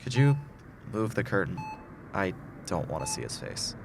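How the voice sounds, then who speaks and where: A man's voice: low voice, big pitch swings, normal speed, creaky, noisy background, heavily acted. A man acting in an audio drama as a wronged, resolute man who outwits his tormentor in a time loop. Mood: subdued. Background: loud music bed.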